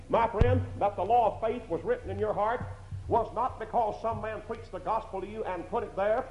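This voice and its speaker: A man's voice: high-pitched, darkish tone, slight background noise. A man with a strong voice preaching a sermon in a church, emphatic, repeating phrases and asking rhetorical questions. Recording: dull lo-fi recording in an echoey hall.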